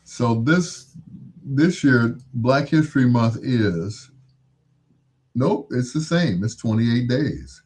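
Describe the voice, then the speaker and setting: A man's voice: medium pitch, expressive, takes it slowly, a little mellow, slightly rough. An American man hosting in a casual, rambling monologue, musing aloud and posing rhetorical questions. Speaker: radio-style host.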